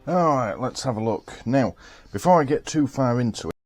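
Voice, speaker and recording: A man's voice: medium pitch, lively intonation, moderate pace, over music. A man giving casual commentary to viewers as a video creator. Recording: clearly noisy amateur clip with clicks.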